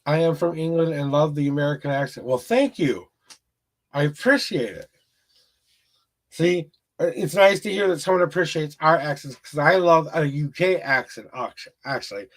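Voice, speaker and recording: A man's voice: fairly high pitch, moderate pace. A man with a rural Minnesota Midwest accent, rambling through a casual livestream tarot reading with frequent restarts. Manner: rambling, repeats words and restarts often. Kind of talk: casual livestream chat with viewers.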